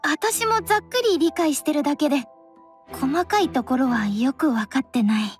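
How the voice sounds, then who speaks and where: A woman's voice: sits high, animated, moderate pace, faint music behind. A woman voicing an anxious, plain-spoken young girl in a game, with a hesitant manner. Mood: unsure. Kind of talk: Japanese game dub dialogue.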